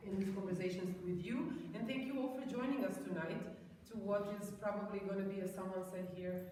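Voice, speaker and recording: A woman from Serbia giving a talk to guests at a private home, pausing often with ums and restarting her sentences. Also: medium pitch, steady tone, dark tone. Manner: hesitant, many ums and restarts. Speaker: guest speaker. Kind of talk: public talk at a home event. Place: large echoey room in a private house. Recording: echoey room, far from mic, faint noise.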